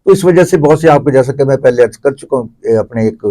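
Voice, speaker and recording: Pakistani man, guest commentator explaining stuff in an interview, soft dark tone, average pitch. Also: expressive.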